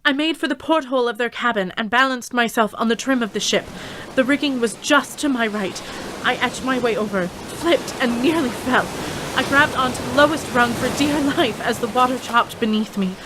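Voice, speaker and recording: Young female adventurer played by an actress, higher voice, suspenseful measured storytelling for radio drama, clear background noise. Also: lightly acted. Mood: urgent, suspenseful. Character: brave, frightened young woman adventurer recounting her sea ordeal. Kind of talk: radio drama first-person narration.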